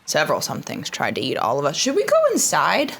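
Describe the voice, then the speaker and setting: A woman's voice: sits low, wildly swooping pitch, quick pace, gravelly, a bit bright, slightly rough. A woman playing a tabletop game, who speaks eagerly in character as she describes her moves. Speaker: player.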